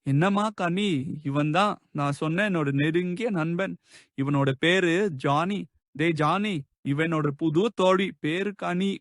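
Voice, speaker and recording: A man's voice: a little high, unhurried, dry recording, studio quality, lightly acted. A man voicing a friendly, chatty young male cat in a children's cartoon, chummy and familiar.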